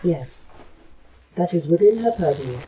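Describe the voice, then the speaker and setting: Sci-fi facility ai, really low and dark, clipped and formal, totally impassive. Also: female voice, animated, unhurried, rough recording. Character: calm terse A.I. of a secret research facility. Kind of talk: science fiction audio drama acting.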